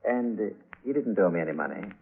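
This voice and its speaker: A man's voice: fairly low pitch, lively intonation, quick pace, slight background noise. An actor playing a sly, smug aging male blackmailer in a vintage radio thriller, terse and insinuating. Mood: sly, menacing. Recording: tinny old radio recording, music behind.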